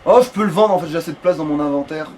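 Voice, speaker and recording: A man's voice: fairly high pitch, racing, crowd noise. A man giving casual, profanity-heavy game commentary while chatting with a friend. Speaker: let's play gamer. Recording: clear steady background noise.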